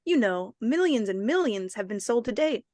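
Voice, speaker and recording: A woman playing an eager, wheedling pitch character in a mid-century radio commercial, peppy in manner. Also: lively intonation, normal speed, darkish tone, dry recording, studio quality, close to an everyday voice. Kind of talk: 1950s radio commercial acting.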